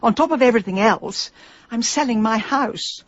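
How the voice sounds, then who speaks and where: A woman playing a headstrong, middle-aged English businesswoman in a radio comedy-drama, sardonic, brisk and stubborn. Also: fairly high pitch, dull audio, lightly acted. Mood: insistent. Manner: brisk, dry, exasperated.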